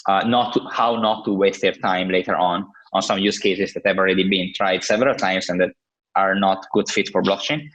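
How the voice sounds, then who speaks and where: A man's voice: deep voice, fairly bright tone, poor audio. A man in his thirties from Italy with an Italian accent, answering interview questions casually and hesitantly, full of fillers and restarts. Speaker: guest, crypto entrepreneur.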